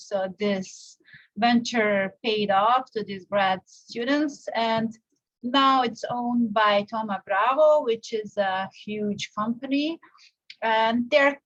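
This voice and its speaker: A young woman from Serbia giving a live online software tutorial, explaining step by step with frequent fillers and restarts. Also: on the high side, muffled recording. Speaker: teacher presenting a software tutorial. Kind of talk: live tutorial with screen share.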